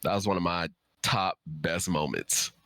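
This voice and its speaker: A man's voice: low voice, steady tone, a little slow, bright, forceful tone, dry recording, studio quality. A man speaking as an author guesting on a live stream, chatting casually and candidly, with emphatic repetition. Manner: candid, emphatic, repeats himself. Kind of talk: casual chat.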